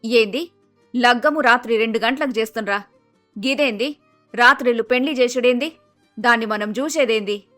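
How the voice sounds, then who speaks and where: A woman's voice: a little high, normal speed, pauses often, bright, forceful tone, faint music behind. A woman voicing a blunt, bossy middle-aged village mother-in-law with an emphatic Telangana Telugu accent, in a cartoon story. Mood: grumbling. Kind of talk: acted dialogue in animated moral story.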